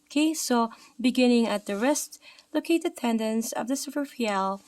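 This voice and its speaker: A woman's voice: fairly high pitch, moderate pace, darkish tone, no echo. Tired Filipino woman reading anatomy notes. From Philippines. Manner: reads aloud with slips, self-corrections and filler "okay, right?". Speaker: anatomy study presenter. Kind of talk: reading aloud from a textbook.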